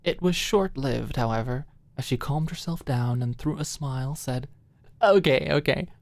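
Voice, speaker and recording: A woman's voice: rumbling low, normal speed, darkish tone, no room sound. A woman narrating a scripted ASMR audiobook story, close to the microphone.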